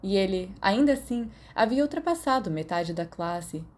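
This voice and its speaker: A woman's voice: medium pitch, animated, moderate pace, darkish tone. A woman with a Brazilian accent reading scripted fiction as an audiobook narrator.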